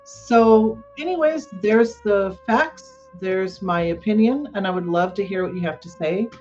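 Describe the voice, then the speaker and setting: A woman hosting a podcast, giving casual solo commentary in a halting way. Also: medium pitch, a little mellow, lo-fi sound, soft background music. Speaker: podcast host.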